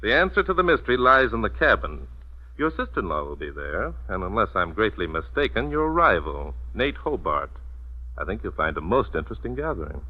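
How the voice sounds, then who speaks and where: An actor playing a shrewd, composed detective in a 1940s-style radio mystery, speaking in a measured, formal, assured way. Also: male voice, on the low side, sing-song. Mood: grave, firm. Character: shrewd, composed amateur detective leading a murder inquiry. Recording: muffled vintage radio drama recording.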